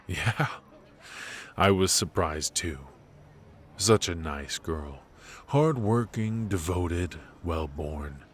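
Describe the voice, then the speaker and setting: Man acting a very deep-voiced, smug mafia boss. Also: lively intonation, heavily acted. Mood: coolly bargaining. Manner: unhurried, smug, probing, with pauses and musing hums. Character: brilliant, ruthless, teasing mafia boss, calmly bargaining. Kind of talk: intimate ASMR roleplay, noir audio drama.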